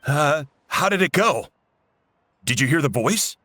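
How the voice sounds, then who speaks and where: Helpful young village guy, lightish pitch, very animated, game music behind. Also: a little slow, very noisy. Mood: curious. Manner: eager, obliging. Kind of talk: video game voice acting.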